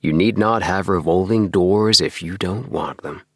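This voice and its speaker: A man's voice: deep voice, a little slow, no echo, studio quality. A man voicing a puzzled, reasonable young friend in a storybook, in a soothing, bemused manner. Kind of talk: storybook dialogue reading.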